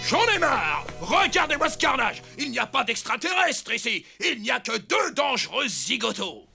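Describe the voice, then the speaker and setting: A man voicing a middle-aged cartoon father in a French dub, exasperated and sarcastic, with a gravelly voice. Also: very high voice, big pitch swings, moderate pace, sharp tone, soft background music, full character voice. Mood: furious. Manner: blustering, sarcastic. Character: exasperated, blustering cartoon father, gruff and mocking.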